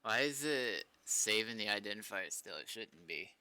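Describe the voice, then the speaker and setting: An American man talks off the cuff as he works on a stream, circling his thoughts in loose, hesitant phrases. His voice sits a little low yet has a very bright, sharp edge. It is smooth and clear, recorded close and dry. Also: animated, normal speed, no room sound. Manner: hesitant, rambling, loosely worded. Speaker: game developer live-streaming. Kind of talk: casual rambling chat while coding.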